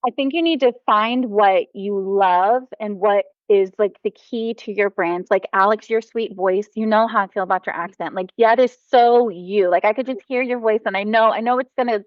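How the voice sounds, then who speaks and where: A woman's voice: mid-range voice, normal speed, few pauses, no room sound, lo-fi sound. An American woman, a mom and former teacher, talking enthusiastically as a podcast guest. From United States. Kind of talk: casual podcast conversation.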